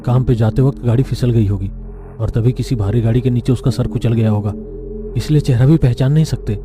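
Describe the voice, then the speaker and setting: An actor playing a solemn, insistent and eerily fearless young morgue assistant in a horror audio story. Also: male voice, medium pitch, fairly quick, lightly acted. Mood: firm, reasoning. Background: music.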